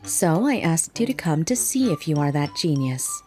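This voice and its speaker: A calm, perceptive young teacher, voiced by a woman, composed and firm with a lively, expressive pitch. Light music plays behind her in this manga dub. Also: normal speed.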